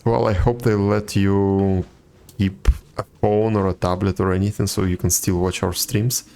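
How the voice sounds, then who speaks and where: A man's voice: deep voice, level tone, normal speed, vocal fry, mellow, slight background noise. A man chatting casually as a game streamer, commenting to himself in a wry, self-deprecating way. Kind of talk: casual live gameplay chat. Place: indoors, streaming, game music behind.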